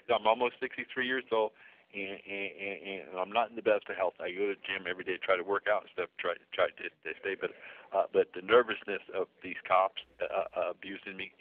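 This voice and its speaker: A man in his sixties with a speech impediment, giving a halting personal account and often repeating words. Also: lowish voice, no echo. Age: 60s. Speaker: interviewee. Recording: tinny phone-like sound.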